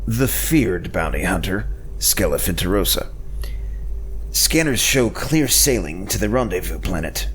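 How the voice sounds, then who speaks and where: A man's voice: deep voice, sing-song, unhurried, a bit bright, a little hiss or hum, pitched below the performer's own voice, full character voice. A man playing a roguish space smuggler in a scripted sci-fi audio drama, wry, defiant and sardonic. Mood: solemn, reporting.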